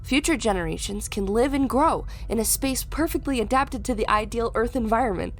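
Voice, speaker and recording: A woman's voice: fairly high pitch, brisk, close to an everyday voice. An actress playing a confident, teasing female scientist in an audio drama, upbeat and assured. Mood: proud, teasing.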